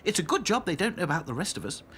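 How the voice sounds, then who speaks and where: Man as a high, bossy female rag doll. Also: big pitch swings, very fast, a bit bright, a little hiss or hum. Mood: eager.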